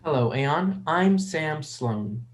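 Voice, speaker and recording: An actor playing a gentle, awkward adult who is trying to befriend a child, speaking hesitantly and earnestly in a dramatic script reading. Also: medium pitch, lively intonation, slow pace.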